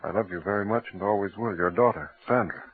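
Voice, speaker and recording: An actor playing a gentle middle-aged father in a radio drama, soothing and expressive in a theatrical way. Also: fairly low pitch, fast, slightly rough. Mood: puzzled, subdued. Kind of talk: mid-century radio drama acting. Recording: muffled, tinny old radio recording.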